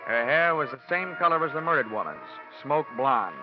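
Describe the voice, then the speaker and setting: An actor playing a wisecracking, cynical insurance investigator in a mid-century American radio detective drama. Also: male voice, on the high side, lively intonation, normal speed, natural voice. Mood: wry, hardboiled. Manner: wry, cynical, slangy. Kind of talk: 1940s-50s radio detective acting. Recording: dull vintage radio recording, music behind.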